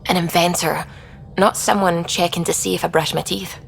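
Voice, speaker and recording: A woman playing a thoughtful, devout scientist on a space expedition, explaining things in a measured, reflective way in video game dialogue. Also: lowish voice, fairly even intonation, creaky, a little hiss or hum, poor audio. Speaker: science officer character.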